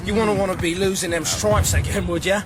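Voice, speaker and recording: An actor playing a cheeky common soldier bantering colloquially in a period radio drama. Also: male voice, sits high, steady tone, a little fast, very noisy, natural voice. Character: cheeky common soldier joking with his mate.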